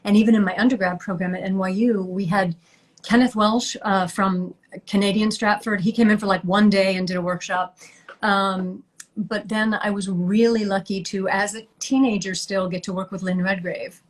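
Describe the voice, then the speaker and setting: A woman's voice: medium pitch, fairly even intonation, normal speed. A middle-aged woman, a guest actress, chatting casually in an interview over a video call and laughing lightly between thoughts.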